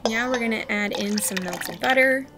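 A woman's voice: a little high, moderate pace, music in the background. A woman in her thirties who runs a homesteading channel, talking viewers through her bread recipes step by step in a friendly, instructional way. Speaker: homesteading vlogger. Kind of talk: cooking tutorial narration. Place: home kitchen, indoors.

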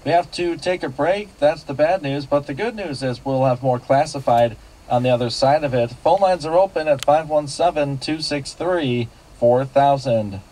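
A man's voice: fairly high pitch, moderate pace, hardly any pauses, warm tone, poor audio. A man hosting a local radio classifieds call-in show, politely repeating details back. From United States.

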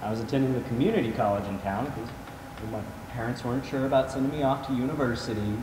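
Guy acting a hurt young man onstage, halting, mid voice, echoey and far from the mic. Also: medium pitch, natural voice. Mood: pained, confessional. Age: young adult. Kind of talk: stage play acting. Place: theater stage, indoors. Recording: echoey theater, distant, noisy.

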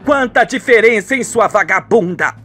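A man's voice: high voice, expressive, moderate pace, pushed and bright, soft background music, exaggerated voice. A man playing a furious, scornful middle-aged betrayed wife in a radio soap opera.

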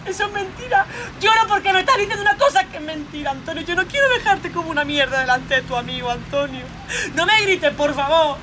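A woman's voice: mid-range voice, steady tone, fast, few pauses, crowd noise, background noise, lightly acted. A woman playing a frightened young girlfriend in a dramatic monologue, pleading and protesting in a Spain accent. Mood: pleading, upset. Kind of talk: theatrical monologue role-play.

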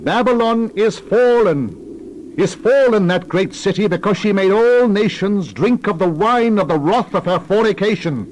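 A man's voice: sits high, expressive, moderate pace, darkish tone, a little hiss or hum, pitched above the performer's own voice, low-quality recording. A man voicing a mighty angel who proclaims judgment in a solemn, urgent, declamatory way in a dramatized scripture reading. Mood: thundering.

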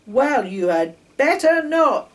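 A woman voicing a usually calm male engine driver who scolds his steam engine in a storybook. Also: high-pitched, measured pace, distant mic, pitched above the performer's own voice. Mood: stern. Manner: stern, loud scolding.

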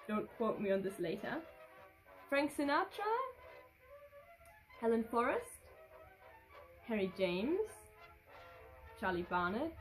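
A woman's voice: on the high side, a bit bright, poor audio. A young woman in her twenties, a native German speaker, reading fan questions aloud and answering off the cuff in a casual vlog from her living room. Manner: chatty, reads questions aloud then rambles off the cuff. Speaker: vintage fashion vlogger. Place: living room with red curtain and sofa.

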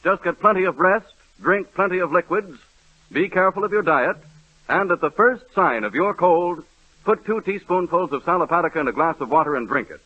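A man reading a brisk radio commercial as a 1930s announcer. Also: sits high, animated. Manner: brisk, smooth, salesman-like. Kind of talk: 1930s radio ad read and comedy. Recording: muffled old radio broadcast.

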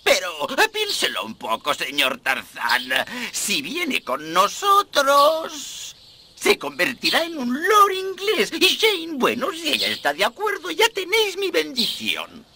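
An actor playing a courteous, effusive old professor and explorer in a theatrical cartoon style. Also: male voice, very high-pitched, wildly swooping pitch, takes it slowly, noisy background, heavily acted. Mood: effusive, pleading. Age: older. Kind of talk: animated cartoon dub.

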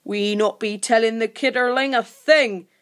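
A woman voicing a gruff, bossy male monster in a children's story, barking insults in broken rustic grammar. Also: on the high side, fairly even intonation, normal speed, close to an everyday voice. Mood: defiant, threatening.

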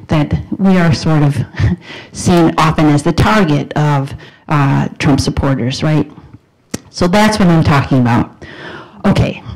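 A middle-aged woman from Wisconsin with a Wisconsin accent, giving an academic talk on a handheld mic in a lecture room, earnest and emphatic, pausing to rephrase. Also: low voice, dark tone, rough recording. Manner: earnest, emphatic rising questions, pauses to rephrase. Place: lecture room in front of a projection screen. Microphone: handheld microphone.

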